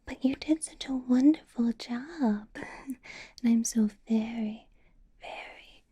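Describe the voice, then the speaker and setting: A woman playing a possessive, menacing female vampire boss in an ASMR roleplay, speaking in a slow breathy whisper with soft teasing laughs. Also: fairly high pitch, exaggerated voice.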